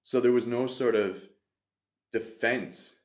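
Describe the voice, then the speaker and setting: A man in his thirties, a podcast guest, telling his own story in an earnest, reflective way with frequent restarts, on a desk mic in a bright studio room. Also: mid-range voice, steady tone, slow. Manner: earnest, reflective, self-interrupting. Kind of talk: candid storytelling in conversation. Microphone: desk mic on stand.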